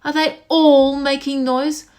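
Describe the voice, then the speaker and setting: A woman's voice: high voice, level tone, takes it slowly, a little mellow. A woman voicing a kindly, loyal elephant in a storybook, calling out earnestly and urgently. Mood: urging.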